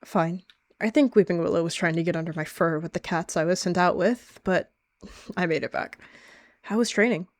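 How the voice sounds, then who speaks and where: A woman voicing a secretive young she-cat warrior who speaks softly and intensely. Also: on the low side, moderate pace, no room sound, clean studio sound, natural voice. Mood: casual.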